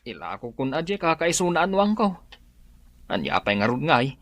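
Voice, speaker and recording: A woman's voice: low voice, sing-song, normal speed, dry recording. A woman with an Ilocano accent playing a shamefaced, nervous debtor who stammers and whines in a radio drama. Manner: hesitant, stammering, whining. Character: shamefaced, nervous debtor, grown child hiding from creditors.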